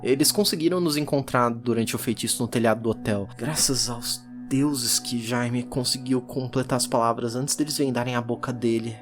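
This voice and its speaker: Performer acting out a hunted woman occultist, Brazilian accent, distraught yet defiant, halting dramatic diary monologue, music underneath. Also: medium pitch, lightly acted. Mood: shaken.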